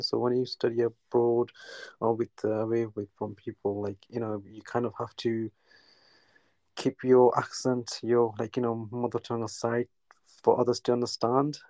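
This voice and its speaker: A man from Newcastle, England, a guest caller chatting casually, talking haltingly with many restarts and fillers. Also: mid-range voice, little pitch movement, a little mellow, no echo. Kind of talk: casual chat.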